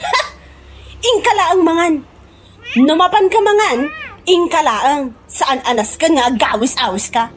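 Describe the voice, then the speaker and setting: A woman's voice: very high-pitched, measured pace, pauses often, a little hiss or hum. An actress with an Ilocano accent playing a brash, scornful woman in a comedy radio drama, snapping melodramatically. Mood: scornful, haughty. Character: brash, scornful woman quitting her job for politics.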